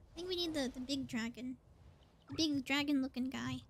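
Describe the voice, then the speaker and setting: Woman, very high voice, playful game streamer, casual exclamatory commentary, some background noise. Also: moderate pace. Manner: playful, exclamatory, thinking aloud. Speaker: livestreamer playing a game. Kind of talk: casual live gameplay commentary.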